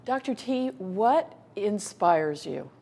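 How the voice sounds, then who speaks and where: A woman hosting an interview show, asking prepared questions in a warm, measured way. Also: mid-range voice, animated, creaky, a little hiss or hum. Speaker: interview host.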